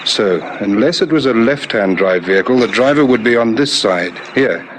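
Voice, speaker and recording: Brisk police lieutenant played by an actor, noisy recording. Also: male voice, mid-range voice, expressive, natural voice. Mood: brisk, businesslike. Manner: clipped, authoritative questioning. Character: brisk, methodical male police lieutenant, curt but courteous. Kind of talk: vintage radio detective drama acting. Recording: old radio broadcast with background noise.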